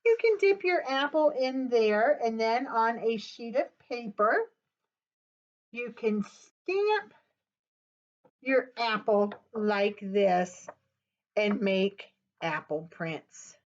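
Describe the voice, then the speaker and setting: An older woman who works as a children's librarian, reading a story aloud in an animated, sing-song way, recorded on a webcam in a small office. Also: fairly high pitch, takes it slowly. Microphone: webcam at desk. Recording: dull webcam audio.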